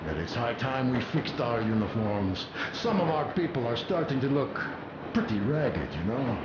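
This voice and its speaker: An actor playing a friendly, practical army workshop armourer in a video game, chatty, folksy and proudly boastful. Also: male voice, medium pitch, heavy background noise. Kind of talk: video game character acting. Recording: muffled, roomy, loud background ambience.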